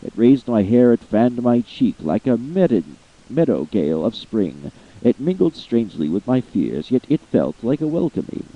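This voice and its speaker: A man giving a slow, theatrical reading of a long narrative poem, swelling with feeling at the high points. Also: big pitch swings, phone-quality sound. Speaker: amateur voice actor reading poetry. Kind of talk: dramatic poetry reading.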